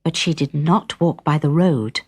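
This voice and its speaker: A woman narrating a children's story in a clear, deliberate, expressive manner. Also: low voice.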